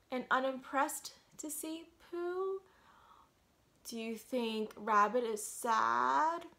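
A woman in her thirties reading a picture book aloud interactively, putting questions straight to young children. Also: high voice. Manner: animated, questioning, addresses the child directly. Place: small quiet room at home.

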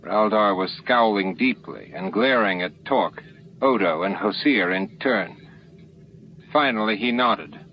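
A man's voice: on the low side, unhurried. A man reading a science fiction novel aloud as an audiobook narrator, in scripted narration. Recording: dull lo-fi audio, faint noise.

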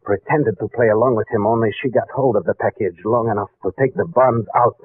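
A suspicious, edgy tough guy from a forties radio crime play. He talks blunt slang in a rough, husky voice, on a thin vintage broadcast recording. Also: lowish voice, fairly even intonation, a little fast, no echo. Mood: tense, explaining. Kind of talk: 1940s radio acting. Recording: narrow muffled 1940s radio recording.

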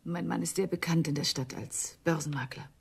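An actress playing a cool, disdainful wife who is in on a scheme, in a German radio crime drama. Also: female voice, low-pitched, a little fast, creaky, slightly rough, exaggerated voice. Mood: haughty. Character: cool, haughty wife complicit in fraud.

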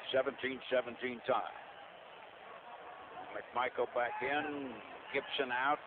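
A man's voice: mid-range voice, fairly even intonation, slow. A man doing live radio play-by-play of American football, partisan and speaking in terse bursts. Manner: partisan, terse bursts, says "we" for the home team. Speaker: play-by-play sports announcer. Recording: tinny band-limited radio broadcast, noisy.

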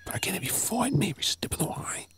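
An Australian man playing a gruff, wary zombie apocalypse survivor in a whispered ASMR role play, terse, urgent and husky. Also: sits high, very animated, a bit bright. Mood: stern, exasperated. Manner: terse, urgent, slow clipped phrases.

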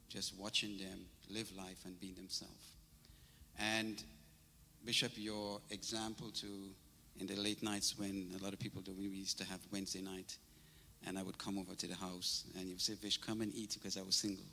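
Man, from Trinidad, middle-aged, memorial tribute, handheld mic, lowish voice, reverberant church hall. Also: slight background noise. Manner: warm storytelling, grows animated and laughing. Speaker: church minister giving a tribute. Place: large church sanctuary. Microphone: handheld microphone.

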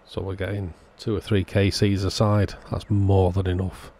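A man's voice: low-pitched, level tone, moderate pace, warm tone. A man giving an informal, matter-of-fact voice-over, explaining a hands-on repair step by step as the host. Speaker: host of a radio repair channel. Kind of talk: informal scripted-sounding voice-over narration of a repair.

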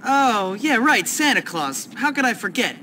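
A man's voice: very high-pitched, big pitch swings, measured pace, bright, forceful tone, slight background noise, full character voice. A man voicing a cocky, sarcastic schoolboy tough with a wry delivery, in a video game cutscene.

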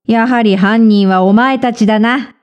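Woman playing a stern, slow old temple priest. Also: a little high, clear, resonant tone, highs cut off, natural voice. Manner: scolding, pompous, old-fashioned speech.

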